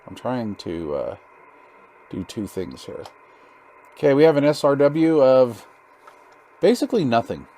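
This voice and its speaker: A man's voice: mid-range voice, sing-song, a little slow, long pauses, warm tone. A man hosting a hands-on DIY radio build video, chatting casually in a rambling, folksy way with informal slang. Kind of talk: casual hands-on tutorial chat.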